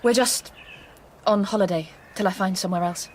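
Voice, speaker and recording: A woman's voice: mid-range voice, brisk, slight background noise, close to an everyday voice. An actress playing a tired, loving but guarded single mother of a young girl in a radio drama.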